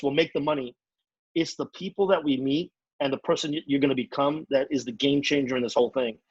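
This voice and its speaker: A man giving an energetic, repetitive motivational training talk as a network marketing trainer. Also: fairly high pitch, breathy edge, dry recording, highs cut off. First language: English.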